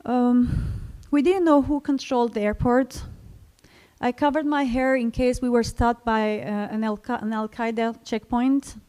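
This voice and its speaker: A woman from Syria, telling a personal story to an audience in a slow, reflective way. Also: a little high, fairly even intonation, warm tone, smooth. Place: library event stage.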